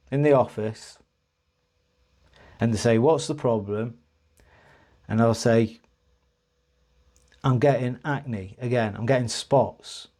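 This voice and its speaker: A man speaking to camera in a loose, rambling way, often stopping and restarting his sentences. His voice sits at a middling pitch with a dark, mellow tone. He sounds earnest and a little frustrated. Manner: rambling, hesitant, full of restarts and "sort of, like". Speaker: vlogger. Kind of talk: personal storytelling to camera.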